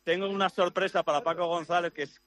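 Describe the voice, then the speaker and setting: A man with a Spain Spanish accent reporting live for a sports radio show, talking in a chatty, animated way as he walks outdoors through a city. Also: high voice, fast.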